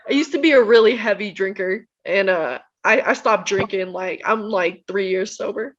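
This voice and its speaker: A woman's voice: mid-range voice, moderate pace. A woman in her thirties talking candidly about her past in a casual chat. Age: 30s. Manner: candid, open about personal history. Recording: dull lo-fi mic.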